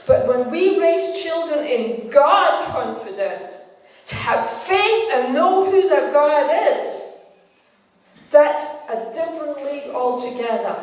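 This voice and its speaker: An older woman from Denmark whose first language is Danish, preaching a sermon slowly and emphatically and repeating her key phrases. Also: high-pitched. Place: church hall. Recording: echoey hall, from far off.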